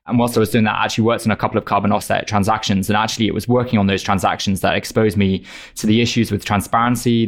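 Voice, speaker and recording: A man speaking as an interview guest, explaining his work in a conversational way. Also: lowish voice. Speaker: startup co-founder, interview guest.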